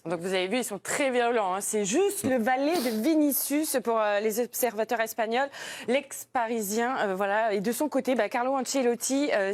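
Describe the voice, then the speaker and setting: A woman reads out a football news segment in a somewhat high voice. She keeps it conversational, slipping in small fillers.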